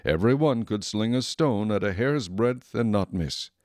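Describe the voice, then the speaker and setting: A man giving solemn, measured scripted narration for a dramatized Bible recording. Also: lowish voice, lively intonation, a little mellow, dry recording, clean studio sound.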